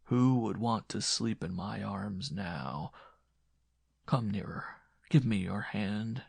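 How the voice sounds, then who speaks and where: A man voicing a bitter, menacing, disfigured blind former lover in a slow, hushed, breathy voice for a horror story. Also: lowish voice, dry recording, exaggerated voice. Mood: tender, wistful. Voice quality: breathy, hushed, often whispering. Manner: slow, quiet, pleading turning cruel.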